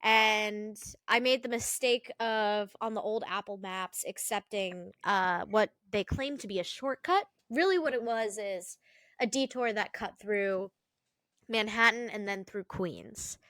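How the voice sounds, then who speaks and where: Bright, chatty young woman co-host. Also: mid-range voice, unhurried, no room sound. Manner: chatty storyteller, swears, laughs often. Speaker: podcast co-host. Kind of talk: casual chat.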